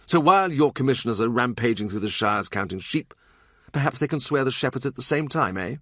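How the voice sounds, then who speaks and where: A man's voice: mid-range voice, lively intonation, fairly quick, fairly bright tone, no room sound. A proud court bishop from a historical novel, voiced by a male narrator, sneering and sardonic. He pushes his words out vehemently, in a close, very dry recording.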